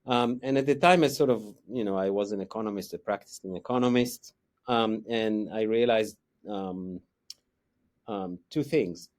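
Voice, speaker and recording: A middle-aged man with an Israeli accent, an economics professor answering interview questions haltingly, with many fillers and restarts. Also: on the low side, darkish tone.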